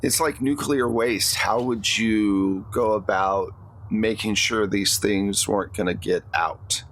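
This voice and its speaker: Slow-talking man running a tabletop game. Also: on the low side, poor audio. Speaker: game master. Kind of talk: narrating a tabletop roleplaying game, conversational. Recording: clear steady background noise.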